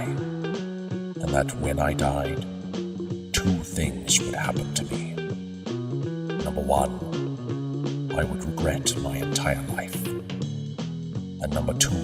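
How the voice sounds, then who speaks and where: Grave, ominous reciter, bottomless bass, slow and deliberate, distant beneath loud music. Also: male voice, exaggerated voice. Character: solemn, ominous male reader of a book's epigraph. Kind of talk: slow dramatic recitation in audio drama.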